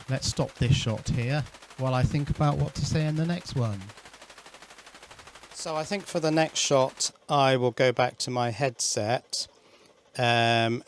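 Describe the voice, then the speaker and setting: A man talks through a hands-on technical demonstration in an explanatory, informal way. His pitch is average for a male voice, and he thinks aloud, correcting himself as he goes. Some noise sits behind the voice. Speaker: electronics hobbyist presenter.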